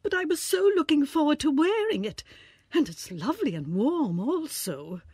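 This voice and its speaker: An actress playing a haughty, bargain-hunting shop customer with an affected manner in a radio drama. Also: female voice, high voice, big pitch swings, normal speed, darkish tone, no room sound, clean studio sound. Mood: indignant. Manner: affected, self-satisfied.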